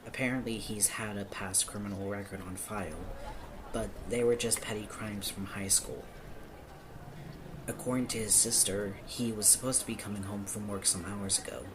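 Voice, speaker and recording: A man's voice: on the low side, steady tone, normal speed, background noise, natural voice. A man playing a nervous, awkward small-town police officer in an audio roleplay, hesitant and apologetic in manner. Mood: matter-of-fact, somber. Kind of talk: audio roleplay acting, one-sided dialogue.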